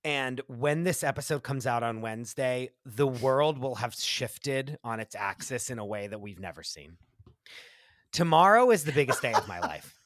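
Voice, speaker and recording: A man co-hosting a comedy podcast, talking in an animated, dramatic way with lots of fillers and quick asides. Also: mid-range voice, dry recording, clean studio sound. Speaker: podcast co-host. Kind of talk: casual comedic chat.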